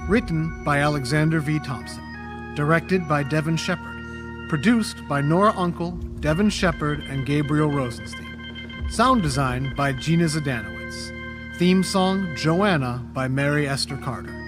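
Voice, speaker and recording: A man's voice: a little high, a little slow, over music. A man reading scripted closing credits as a podcast announcer.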